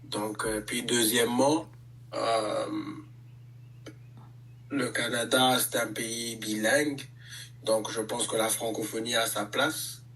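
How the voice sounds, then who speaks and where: Un homme, artiste de hip-hop, qui répond calmement à une interview, avec beaucoup d'hésitations. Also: male voice, mid-range voice, recorded from a distance, low-quality recording. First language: French. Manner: measured, many hesitations. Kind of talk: casual interview answers.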